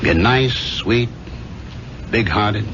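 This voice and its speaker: A man's voice: on the low side, drawn-out words. An actor playing a falsely chummy, shrewd small-business boss with patronizing salesman patter in a 1970s radio drama. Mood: patronizing, blunt. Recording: noisy, muffled 1970s radio recording.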